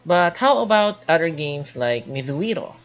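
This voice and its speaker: A woman giving an informal spoken video game review as a channel host, haltingly, thinking aloud and repeating phrases. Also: deep voice, lively intonation. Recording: dull lo-fi mic, faint music behind.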